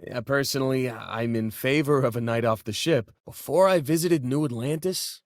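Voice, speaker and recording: A man's voice: mid-range voice, normal speed, dry recording, clean studio sound, lightly acted. An actor playing a friendly, wisecracking male space miner and loyal crewmate in a sci-fi video game, with a casual, folksy, joking manner. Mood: easygoing.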